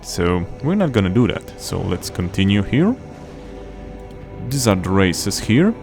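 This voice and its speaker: Young man hosting a game playthrough, lowish voice, loose chatty delivery, game music underneath. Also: sing-song, a little slow. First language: Polish. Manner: chatty, restarts phrases while explaining. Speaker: gaming channel host. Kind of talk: casual gameplay commentary.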